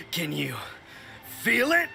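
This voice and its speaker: A man voicing a delirious, boastful macho swordsman in a video game, theatrical, halting and gravelly. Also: sits high, huge pitch swings, sharp tone, heavily acted. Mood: bombastic, boastful. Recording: game music behind the voice.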